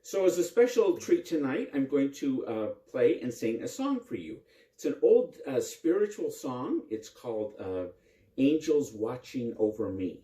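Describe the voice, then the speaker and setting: Slow, mellow man telling children a story. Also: medium pitch, big pitch swings, distant mic. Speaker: priest telling bedtime stories. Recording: roomy echo with faint music.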